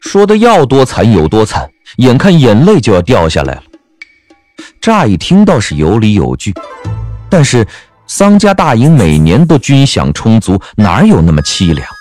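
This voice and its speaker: A man narrating a scripted story in a wry, expressive manner. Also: lowish voice, normal speed, faint music behind.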